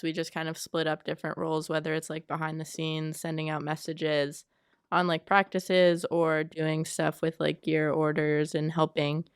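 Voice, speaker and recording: A woman's voice: low voice, a bit bright, clean tone, dry recording, clean studio sound. A young woman from Florida, a college sailing captain, answering interview questions fluently with frequent "um"s. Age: young adult.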